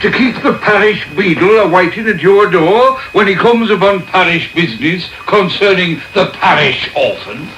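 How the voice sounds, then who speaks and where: A self-important parish beadle in a radio play. He speaks in a high, pompous voice with officious swoops. The recording is echoey, with clear radio hiss. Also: lively intonation, normal speed, few pauses. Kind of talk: naturalistic radio drama acting. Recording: echoey, hissy medium-wave radio recording.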